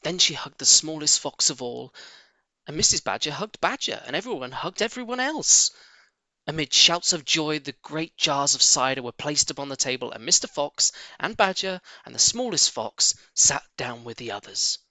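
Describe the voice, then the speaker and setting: A man narrates a children's storybook with lively, sweeping intonation. His voice is bright and projected, recorded very close and dry, with a theatrical storyteller's delivery. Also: a little high, moderate pace, no echo. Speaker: audiobook reader.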